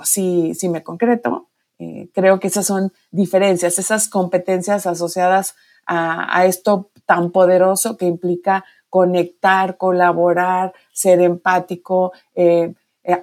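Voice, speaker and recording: A woman, an academic guest speaking in a measured, thoughtful way. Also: lowish voice, little pitch movement, a little mellow. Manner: measured, thoughtful, frequent fillers. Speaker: professor, guest. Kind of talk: interview answer.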